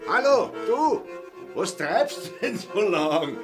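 A gruff Austrian pub regular, blunt and bossy. His voice is on the high side and swings widely in pitch, with music behind it. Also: very animated, slow pace, heavily acted. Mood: impatient, calling out. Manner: colloquial, blunt. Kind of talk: dialect radio drama acting.

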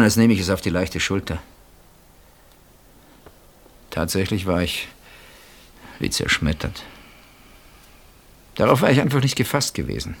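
Radio drama role: betrayed older husband, very low voice, sardonic, brooding, wounded. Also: male voice. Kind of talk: German radio play acting.

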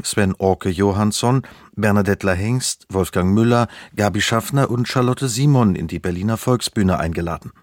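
A man's voice: on the low side, dry recording, clean studio sound. A man reading a scripted introduction to a radio drama as the announcer.

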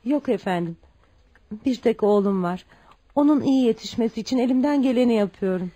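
Radio play role: poor middle-aged mother played by a woman, mellow, slightly high voice, deferential. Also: fairly quick, close to an everyday voice. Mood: humble. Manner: polite, hesitant, deferential. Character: humble, proud, poor widowed mother. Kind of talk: Turkish radio drama acting.

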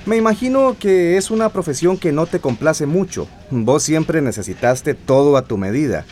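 An actor with a Costa Rican accent playing a passionate, newly defiant teacher and musician in a radio drama. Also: male voice, a little high, animated, moderate pace, a little mellow, a little hiss or hum, close to an everyday voice. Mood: defiant, wounded.

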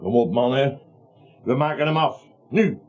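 An actor playing a hard, impatient vigilante leader, curt and commanding, in a Dutch radio play. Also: male voice, mid-range voice, expressive, a little slow, a little mellow, faint music behind. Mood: menacing. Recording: muffled, dull radio play recording.

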